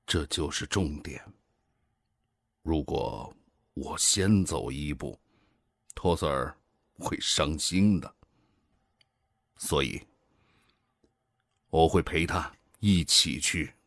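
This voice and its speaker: An actor playing a weary, guilt-ridden older male commander in a radio drama, brooding and deliberate with sighs. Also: extremely low pitch, fairly bright tone, no echo, clean studio sound, heavily acted. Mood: quiet resolve. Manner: brooding, deliberate, sighing soliloquy.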